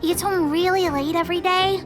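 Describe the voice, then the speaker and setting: A woman voicing a bossy, excitable little girl who leads a gang of kids, self-important and cheeky, in a video game. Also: very high voice, a little fast. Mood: scheming, indignant. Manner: self-important, cheeky, refers to herself by name. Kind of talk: video game character voice acting. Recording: music under the voice. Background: background music.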